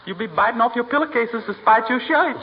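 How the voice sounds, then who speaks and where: A woman's voice: fairly high pitch, expressive, fast, lightly acted. An actor playing a cheerful, giggly household maid with folksy, sassy wit in a 1940s radio comedy, as a woman. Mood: sassy, friendly. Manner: giggly, folksy, mangles words. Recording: muffled, very noisy old radio recording.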